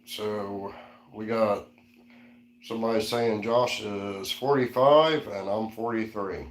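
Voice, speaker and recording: A man's voice: fairly low pitch, steady tone, slow pace, reverberant. A man in his forties co-hosting a casual live-stream chat, easygoing and reading out viewer comments. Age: 40s. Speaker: co-host.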